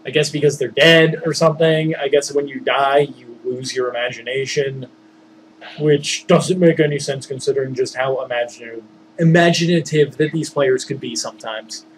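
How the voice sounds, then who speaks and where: A man telling a story casually, rambling and halting as he searches for words and digresses. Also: medium pitch, slight background noise, poor audio. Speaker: storyteller, former tabletop game master.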